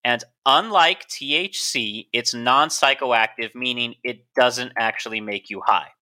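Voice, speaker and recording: A man's voice: mid-range voice, unhurried, sharp tone. A man co-hosting a casual science podcast, explaining research with a joking, self-mocking manner. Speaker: podcast co-host.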